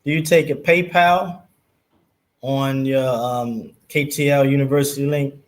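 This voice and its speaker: A man's voice: mid-range voice, steady tone, a little slow. A man from Baltimore with a Baltimore accent, a guest on a casual livestream who talks loosely and keeps saying yes. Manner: affirming, loose, frequent "yes" interjections.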